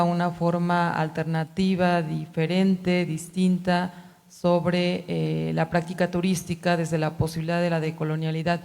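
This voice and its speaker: A woman's voice: fairly low pitch, darkish tone. A woman in the audience asking a long academic question, hesitant and searching for words. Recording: long hall reverb.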